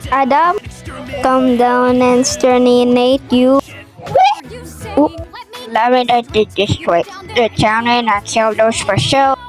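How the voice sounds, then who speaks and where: A young-sounding, high-voiced amateur performing character lines from an animated musical, with music behind. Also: slow, long pauses.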